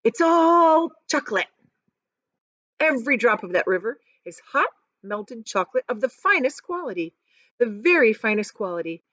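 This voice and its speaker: A woman reading a storybook, voicing an excitable, boastful, eccentric male chocolate factory owner with emphatic, exclamatory delivery. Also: on the high side, normal speed, dry recording, muffled recording, pitched above the performer's own voice, exaggerated voice. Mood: thrilled boasting. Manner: emphatic, exclamatory, repeats phrases for effect.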